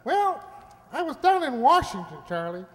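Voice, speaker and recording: A woman's voice: high-pitched, very animated, normal speed. A woman playing a small, weary, plain-spoken male talking mouse in an amateur radio play, with a folksy, hesitant manner. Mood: weary, matter-of-fact. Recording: reverberant hall.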